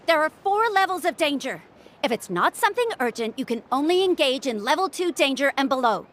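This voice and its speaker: Fussy young sister, game character, very high voice, animated, scolding, emphatic, exasperated. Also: female voice. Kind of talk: video game voice acting.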